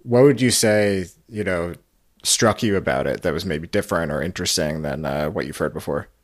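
A man's voice: deep voice, moderate pace. A man hosting a podcast interview in a casual, conversational way, hedging his points with frequent little asides.

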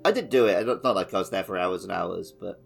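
Male podcast co-host, lowish voice, relaxed game discussion over faint music. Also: quick pace, vocal fry. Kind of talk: casual video game discussion.

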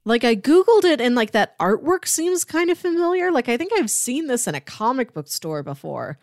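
A woman's voice: sits high, big pitch swings, moderate pace, no echo, studio quality. A woman co-hosting a casual pop culture podcast, chatty and emphatic, repeating phrases for effect. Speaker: podcast co-host.

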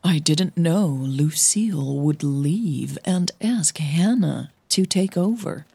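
An earnest, cautioning female coach, as a woman narrator plays her in an audio drama. She is hesitant but persistent, measured and persuasive, with a low, husky voice. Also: animated, warm tone, no echo, exaggerated voice. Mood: apologetic.

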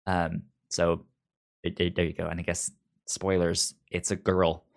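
A man co-hosting a casual comic book discussion, enthusiastic, with a halting delivery that repeats words mid-thought. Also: sits low, creaky. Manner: enthusiastic, animated, breaks into mock quotes. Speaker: podcast co-host.

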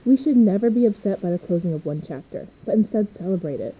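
A young woman playing a reassuring, upbeat teenage girl in an audio drama, with a husky voice. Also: mid-range voice, lively intonation, fast talker, dark tone, low-quality recording.

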